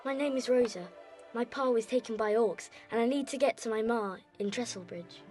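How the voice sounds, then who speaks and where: A woman's voice: a little high, a little fast, a little mellow, faint music behind, natural voice. A female performer playing a meek, pleading young girl in an amateur fantasy audio play.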